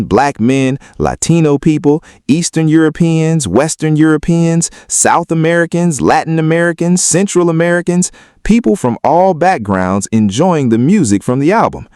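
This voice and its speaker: A man's voice: fairly high pitch, lively intonation, unhurried, darkish tone, clean tone, dry recording, clean studio sound. A man giving opinionated video commentary in an emphatic, argumentative way. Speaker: video commentator on hip-hop.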